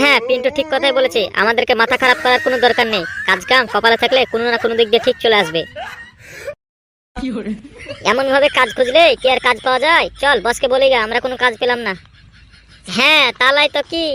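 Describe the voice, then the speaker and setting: A woman's voice: sits high, bright, forceful tone. A woman voicing a cocky, idle young village club lad in a comedy cartoon, bantering boastfully. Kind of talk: exaggerated comedy cartoon dialogue.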